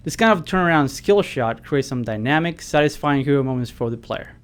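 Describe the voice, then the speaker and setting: A man's voice: medium pitch, lively intonation, brisk, clear, resonant tone. A man giving scripted commentary as a game developer.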